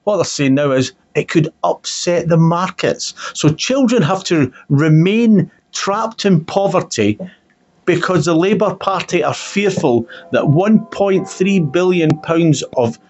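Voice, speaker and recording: A man's voice: fairly high pitch, lively intonation, a little slow, darkish tone. A man arguing in a political discussion, emphatic and indignant, repeating phrases to press his point. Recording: dull, muffled lo-fi audio.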